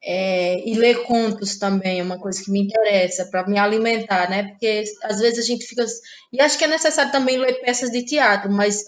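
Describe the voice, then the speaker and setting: A woman from Ceará, Brazil, a playwright and actress, talking through her creative process in a relaxed interview, thinking aloud with frequent restarts. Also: mid-range voice. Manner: thoughtful, halting, many restarts and fillers. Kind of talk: casual interview conversation.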